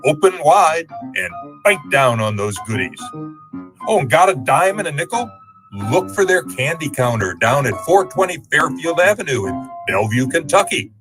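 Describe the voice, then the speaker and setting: A man's voice: fairly high pitch, rough recording. A man reading as a scripted announcer in the style of old-time radio ads and sign-offs.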